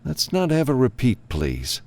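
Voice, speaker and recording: Give the audiobook a grim, commanding lawman as acted by a male narrator. He briefs in a frank, businesslike way, raised in pitch, with wide emphatic swings and a gravelly creak. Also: wildly swooping pitch, a little slow, exaggerated voice. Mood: businesslike, firm.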